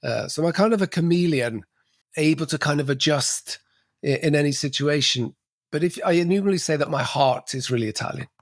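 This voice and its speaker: A man's voice: fairly high pitch, animated, a little fast, dry recording, clean studio sound. A middle-aged man, talking reflectively as a podcast guest with frequent restarts. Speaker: podcast guest, cultural coach. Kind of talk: casual interview conversation.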